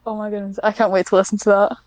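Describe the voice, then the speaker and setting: A woman chatting casually as a podcast guest, in a somewhat hesitant, halting way. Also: mid-range voice, little pitch movement, darkish tone, no echo. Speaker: podcast guest, script writer. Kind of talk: casual chat.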